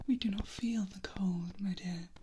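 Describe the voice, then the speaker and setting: A woman playing an ancient, protective female vampire in a soft ASMR roleplay, whispering breathily in a gentle, formal, motherly manner. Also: mid-range voice, steady tone, a little slow, background noise, lightly acted. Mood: hushed, cautioning.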